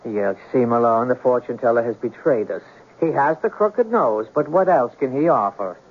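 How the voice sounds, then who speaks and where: An actor playing a stubborn, gloomy, lovesick young Irish immigrant in a 1970s radio drama, indignant and stammering when flustered. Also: male voice, mid-range voice, expressive, moderate pace. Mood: exasperated. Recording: dull, noisy old radio broadcast.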